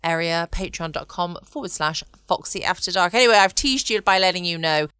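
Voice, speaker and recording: A woman's voice: fairly low pitch, brisk, sharp tone, clean tone, no room sound. A woman chatting casually as a podcast host, rambling and self-deprecating. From United Kingdom. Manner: rambling, self-deprecating, asking tag questions. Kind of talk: casual late-night chat.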